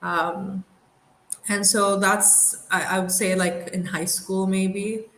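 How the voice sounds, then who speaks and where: A young woman from the Middle East, an aerospace engineer, telling her story in a thoughtful, unhurried way with light laughs. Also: medium pitch, level tone, a bit bright. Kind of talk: reflective interview storytelling. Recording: roomy with faint background noise.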